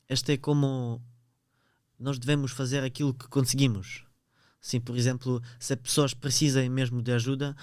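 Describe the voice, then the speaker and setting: A man's voice: medium pitch, darkish tone, smooth, dry recording, studio quality. A teenage boy from Ukraine with a Ukrainian accent, a podcast guest answering hesitantly and searching for words in a language that is not his own. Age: teens. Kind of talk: podcast interview.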